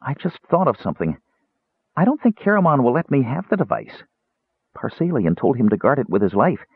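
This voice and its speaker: A man's voice: medium pitch, very animated, fairly quick, breathy edge, dry recording, pitched above the performer's own voice. A man voicing an eager, naive, childlike Kender in a fantasy audiobook, earnest and chatty. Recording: narrow tinny radio-like band.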